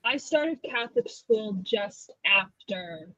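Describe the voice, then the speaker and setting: Slightly husky woman hosting a chat. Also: mid-range voice, lively intonation, slow pace, bright, forceful tone, dry recording, dull audio. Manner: chatty storyteller with wry asides. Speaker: host. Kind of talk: casual chat.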